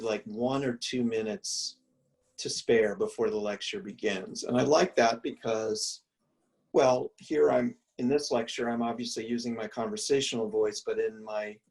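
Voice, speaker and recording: A male professor talks through his thoughts in a mellow, musing way, circling back and restarting as he goes. His mid-pitched voice is gravelly with fry. He sits a little back from the mic on a video call from home. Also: fairly even intonation, normal speed, far from the mic. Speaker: art history professor. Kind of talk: reflective conversational answers. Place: room at home.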